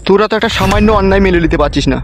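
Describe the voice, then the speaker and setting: Man playing a fast-talking, henpecked cartoon father-in-law. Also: high voice, heavy background noise. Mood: placating. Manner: pleading, placating. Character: placating older village father-in-law, henpecked. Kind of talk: comic cartoon dialogue.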